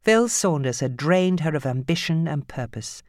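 A woman narrating fiction from a script as an audiobook reader, with a measured, grave and dramatic storytelling manner. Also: low-pitched, expressive, darkish tone, no room sound, clean studio sound.

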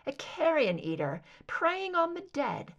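A woman's voice: high-pitched, big pitch swings, a little slow, highs cut off, voice pushed up, full character voice. A woman voicing a tough captive female federal agent, blunt, sarcastic and challenging, in a thriller audiobook. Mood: cool sarcasm.

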